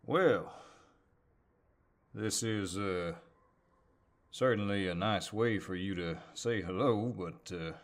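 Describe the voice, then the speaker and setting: A man playing a polite, teasing cowboy neighbor in an audio roleplay, with a deep voice and a hesitant Southern US drawl. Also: slow, pauses often. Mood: amused, teasing. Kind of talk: audio roleplay, intimate one-sided dialogue.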